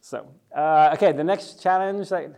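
A man's voice: fairly high pitch, normal speed, dark tone. A middle-aged Englishman leading a teaching session in a chatty, joking way, asking the audience questions. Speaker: youth worker and church leader.

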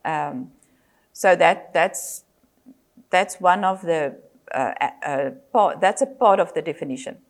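Older South African woman, Afrikaans native; measured lecturer, fillers and restarts, slightly low voice. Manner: measured, with frequent fillers and self-corrections. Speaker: psychologist and lecturer. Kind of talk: presentation lecture.

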